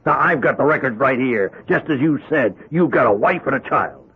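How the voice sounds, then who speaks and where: A man's voice: medium pitch, expressive, moderate pace, breathy edge. An actor playing a paternal but stern prison warden in a radio drama, hearty and impatient in his lecturing. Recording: muffled, tinny old radio broadcast.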